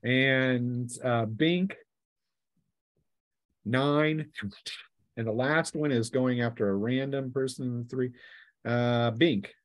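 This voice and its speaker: A man's voice: medium pitch, takes it slowly, long pauses. A man acting as game master of a tabletop role-playing game, narrating casually off the cuff and throwing in vocal sound effects. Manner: acts out sound effects and cries.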